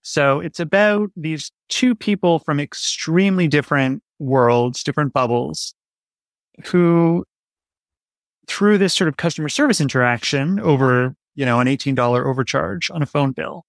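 A man's voice: on the high side, normal speed, vocal fry, no room sound, studio quality. A man speaking conversationally as an interview guest, explaining his creative work in a reflective way. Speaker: audio drama writer, interview guest.

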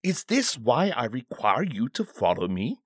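A man's voice: mid-range voice, huge pitch swings, measured pace, husky, dry recording, studio quality, heavily acted. A man voicing a flustered, petulant and curious king in a storybook, with a fussy, pleading manner. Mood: indignant.

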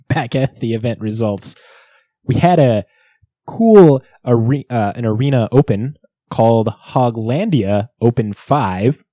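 A man's voice: mid-range voice, mellow, no room sound. A man co-hosting a podcast, bantering jokily and running through a recap of events in a casual way. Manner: jokey, bantering. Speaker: podcast co-host.

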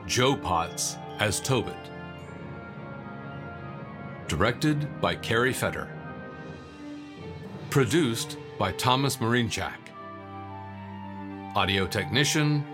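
A man reading scripted closing credits as the announcer of an audio drama. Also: on the low side. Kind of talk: scripted narration.

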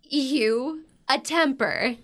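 A woman's voice: high-pitched, very slow, a bit bright, exaggerated voice. A woman playing a blunt, dramatic, protective teenage big sister in an audio drama, with emphatic delivery. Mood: incredulous. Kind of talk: audio drama acting, naturalistic dialogue.

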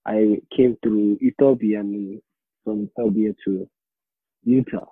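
A man's voice: lowish voice, steady tone, slightly rough, no room sound. Young man on a tinny line. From Somalia. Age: late teens. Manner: halting, repeats words while searching for them. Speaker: interviewee, high school student. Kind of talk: casual interview answers. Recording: dry, tinny phone or call line.

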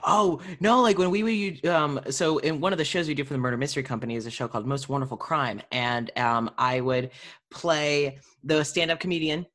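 A woman's voice: low-pitched, brisk. Casual woman interviewer swapping stories. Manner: animated storyteller, does character voices. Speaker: interviewer and fellow actor.

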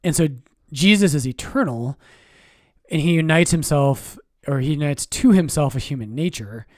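Relaxed priest, dry mic. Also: male voice, on the high side, moderate pace, dry recording. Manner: relaxed, explains doctrine with jokes and self-corrections. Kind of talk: casual podcast chat.

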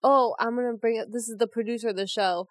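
A woman's voice: a little high, fairly even intonation, fast talker, dry recording, clean studio sound. A young woman co-hosting a podcast, chatting casually with lots of "like" and laughing along. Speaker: podcast co-host. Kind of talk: casual chat.

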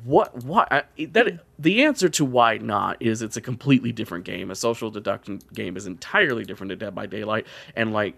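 A man's voice: fairly low pitch, lively intonation, fairly quick, vocal fry, few pauses, fairly bright tone, dry recording. Wry man hosting, very dry close sound. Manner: wry, sweary, joking. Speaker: podcast host. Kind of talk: casual podcast chat.

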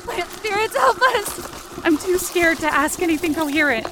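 A woman's voice: very high voice, lively intonation, moderate pace, background noise. A woman playing a frightened but determined young woman, telling a supernatural ordeal in tense, breathless first-person audio fiction. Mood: pleading. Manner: tense, breathless, intense present-tense storytelling. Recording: music bed under the voice. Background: dramatic music.

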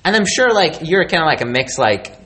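A man's voice: a little high, sing-song, brisk, fairly bright tone. A man interviewing someone in a casual conversation, rambling and often trailing off mid-thought.

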